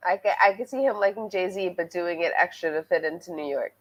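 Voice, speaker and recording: A woman's voice: lowish voice, brisk, low-quality recording. A woman co-hosting a casual sports podcast, sounding congested as she gets over an illness and chatting with lots of fillers. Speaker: podcast co-host. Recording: music playing loudly behind.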